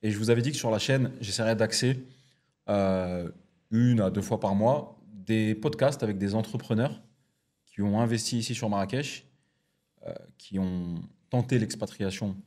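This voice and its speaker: Husky-voiced man hosting a podcast. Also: on the low side, normal speed. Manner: relaxed, hesitant with fillers. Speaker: podcast host. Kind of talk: casual interview chat. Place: podcast studio.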